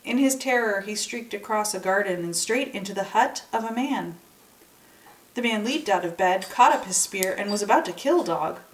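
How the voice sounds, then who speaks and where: A woman storyteller reading a children's folktale aloud in an expressive narrating style. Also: medium pitch, normal speed, a little hiss or hum. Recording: echoey room, distant microphone.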